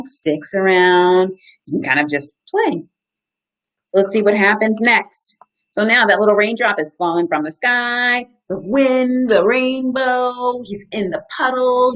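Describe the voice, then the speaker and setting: A woman in her thirties hosts a story time for children. Her voice sits at a middle pitch, and she moves between reading aloud and chatty explanation. She speaks in an animated way, checking in with simple questions for young listeners. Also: poor audio. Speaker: outdoor educator reading to children.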